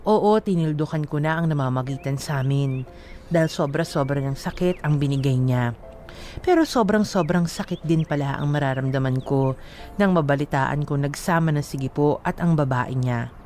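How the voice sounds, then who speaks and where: A woman's voice: low voice, moderate pace, warm tone, faint music behind. A woman giving a sorrowful, confessional first-person narration for a radio love story. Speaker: letter narrator. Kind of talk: scripted dramatic narration.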